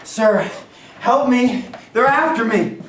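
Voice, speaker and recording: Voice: medium pitch, takes it slowly, a bit bright. An actor playing a terrified young male runaway slave, pleading and deferential, in a staged period play. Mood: frightened pleading. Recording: echoey room, distant mic, faint noise.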